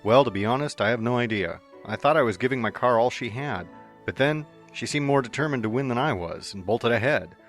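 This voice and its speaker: A cheerful, modest race car driver from a storybook reading, easygoing and joking, as a narrator puts him on. He speaks a little louder and brighter than plain narration. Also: mid-range voice, fairly quick, soft background music, pitched above the performer's own voice, natural voice.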